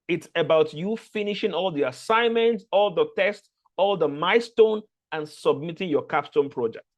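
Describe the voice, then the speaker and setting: A man from Nigeria with a Nigerian accent, English not his first language, giving an earnest onboarding talk to a fellowship cohort with frequent restarts. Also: a little high. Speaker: fellowship organizer.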